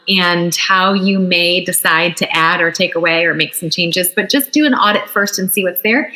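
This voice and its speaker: A woman's voice: mid-range voice, pushed and bright, roomy sound, low-quality recording. A woman on a panel, a parent and educator, speaking in an encouraging, emphatic way. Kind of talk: panel discussion.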